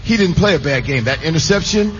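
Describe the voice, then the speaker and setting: Lively, insistent radio co-host, a man with a higher voice, dull lo-fi sound. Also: quick pace, background noise. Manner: animated, argumentative. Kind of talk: live sports radio banter.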